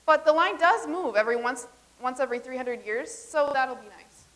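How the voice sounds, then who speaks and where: A woman playing a deadpan visitor from the future. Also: high voice, normal speed. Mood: matter-of-fact. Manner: matter-of-fact, earnest explaining. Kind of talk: staged comedy reading.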